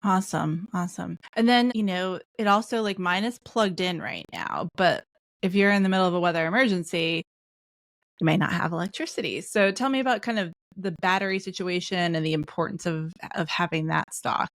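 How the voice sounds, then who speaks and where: A woman's voice: fairly low pitch, brisk, fairly bright tone, dry recording, studio quality. A woman hosting a podcast interview, asking questions in a casual, informal and inquisitive way. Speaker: podcast host.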